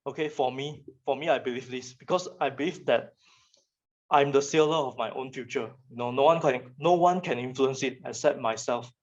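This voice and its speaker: A man's voice: mid-range voice, muffled recording. Malaysian man giving a casual talk. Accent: Malaysian English. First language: Chinese. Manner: casual, repeats words and restarts often. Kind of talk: motivational talk to students.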